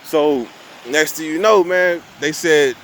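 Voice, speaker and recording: A man's voice: fairly high pitch, takes it slowly, fairly bright tone, slight background noise. A young man telling a long story to his viewers in casual street slang, cussing and restarting his sentences often. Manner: profane, rambling, street slang; restarts and repeats himself often.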